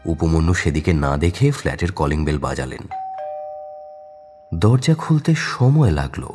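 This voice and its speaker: Deep-voiced, expressive male story narrator. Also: unhurried, over music. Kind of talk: dramatic scripted audio story narration.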